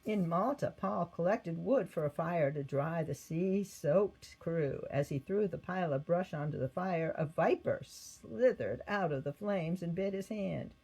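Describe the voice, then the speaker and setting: A woman reading a scripted children's Bible story aloud in an expressive manner. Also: lowish voice, measured pace, few pauses, slightly rough, poor audio. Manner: expressive read-aloud with acted quotes. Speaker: storybook reader.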